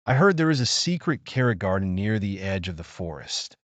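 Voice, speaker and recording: A slick, mischievous he-fox, played by a male narrator coaxes smoothly in a storybook tale. The pitch moves around a lot, in a dry, close recording. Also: very animated, fairly quick, no room sound. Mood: slyly coaxing. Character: sly, mischievous male fox smooth-talking his prey.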